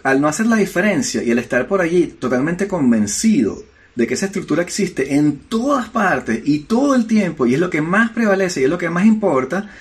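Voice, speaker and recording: A man's voice: a little high, sing-song, fairly quick, few pauses. A man with a Venezuelan accent, co-hosting a podcast in a casual discussion, arguing emphatically with lots of filler words. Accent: Venezuelan Spanish. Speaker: podcast co-host.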